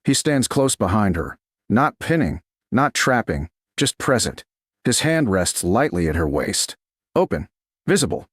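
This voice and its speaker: A man narrating a scripted romance story in a measured, dramatic way, in short clipped phrases. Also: on the low side, very animated, breathy edge, no room sound, clean studio sound. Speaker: audiobook narrator.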